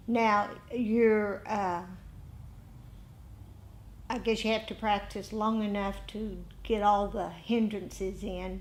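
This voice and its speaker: A woman giving an informal talk as a meditation teacher, speaking reflectively and haltingly, often pausing and repeating phrases. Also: medium pitch. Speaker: meditation teacher leading a group. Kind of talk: informal dharma talk with group discussion.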